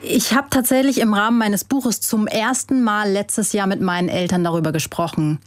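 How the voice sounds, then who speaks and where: A woman from Germany, a guest and author, giving thoughtful, measured interview answers with the odd hesitation. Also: mid-range voice, fairly even intonation, no room sound, studio quality.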